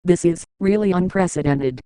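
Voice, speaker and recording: A smooth, mellow, slightly low-voiced female news anchor, played by a woman, with polished delivery. The sound is dry and close. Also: normal speed, no room sound, natural voice. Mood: composed.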